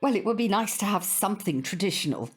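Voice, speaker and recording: A woman's voice: mid-range voice, expressive, quick pace, breathy edge, soft background music. A woman playing a prim, old-fashioned older British grandmother, opinionated and wistful, in an audio drama. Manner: prim, proper, hesitant around awkward subjects.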